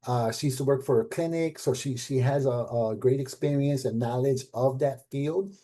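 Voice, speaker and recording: A middle-aged man speaking casually at an event as a program director, with lots of fillers and tag questions, and he gets animated when he quotes people. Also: mid-range voice, moderate pace. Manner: conversational, many fillers and rhetorical "right?"; animated when quoting others. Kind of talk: casual talk at an event panel.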